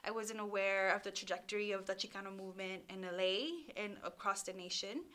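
A woman speaks as an interview guest. Her tone is bright and forceful, her pitch sits mid-range, and she talks candidly, often restarting her sentences. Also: fairly even intonation, a little fast. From Los Angeles, California, USA. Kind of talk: casual interview storytelling.

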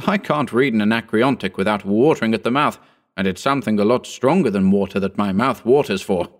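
A man's voice: lowish voice, brisk, natural voice. A man voicing a brash, contemptuous young man in an audiobook reading. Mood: eager. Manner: boastful, contemptuous.

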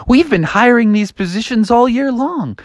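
A man acts as a brusque stadium food boss from a storybook. He talks in casual slang with very animated intonation, dry and close to the listener. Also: extremely high pitch, moderate pace, no room sound, pitched above the performer's own voice. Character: brusque food-vendor bosses at a ballpark, gruffly amused.

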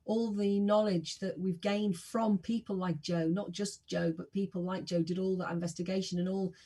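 Woman, 60s, husky, enthusiastic, self-deprecating. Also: medium pitch, fairly even intonation, moderate pace, dark tone. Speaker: podcast guest, singer. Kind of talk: casual interview chat.

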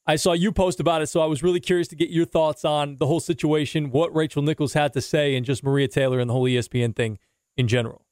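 A man hosting a casual sports talk interview, talking in a halting, rambling way with repeated phrases. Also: on the high side, steady tone, a little mellow, no room sound, studio quality. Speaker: podcast host and interviewer.

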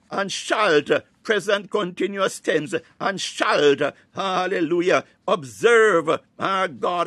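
A man with a high-set voice preaches in a fervent Pentecostal style. He builds his scripture teaching from short bursts, often punctuated with praise. The sound is dry, with almost no room echo. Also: fairly bright tone. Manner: punctuates short phrases with repeated exclamations of praise; breaks into speaking in tongues.

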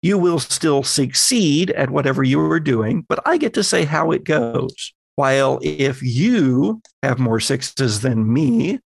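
Guy running a tabletop game, going thru rules step by step, unhurried, very dry sound. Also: medium pitch, expressive, creaky, slightly rough, dry recording. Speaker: game master.

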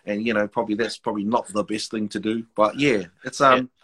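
A man from Wellington with a New Zealand accent, telling stories in casual podcast chat, animated and full of restarts. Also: on the low side, brisk, husky. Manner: animated storytelling, many restarts, slips into quoted voices. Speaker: guest, rapper and basketball MC.

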